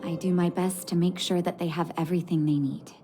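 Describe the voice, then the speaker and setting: An actress plays a composed young woman who leads an underground resistance in a video game. Her voice sits on the low side, measured, gracious and earnest. Music plays clearly behind her. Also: steady tone, warm tone, close to an everyday voice. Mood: grateful, composed. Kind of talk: video game cutscene dialogue.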